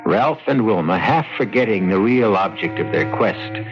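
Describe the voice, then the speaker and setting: Low-voiced American radio mystery host. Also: male voice, animated, moderate pace, music in the background. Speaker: host and narrator. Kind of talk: scripted 1970s radio drama narration. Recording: muffled old radio broadcast.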